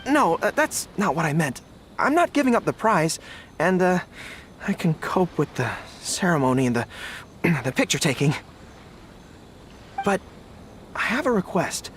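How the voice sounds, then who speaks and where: A man's voice: high voice, big pitch swings, unhurried, long pauses, a bit bright, a little hiss or hum, full character voice. An actor playing an earnest young male soldier in a video game, hesitant and polite, tending to stammer. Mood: nervous, earnest. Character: earnest young dog-eared soldier, male, flustered but dutiful. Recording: game music behind.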